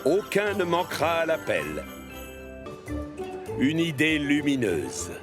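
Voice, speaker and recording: A man's voice: a little high, wildly swooping pitch, takes it slowly. Male storyteller over music. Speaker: narrator. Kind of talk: scripted children's cartoon narration. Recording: clear music bed behind.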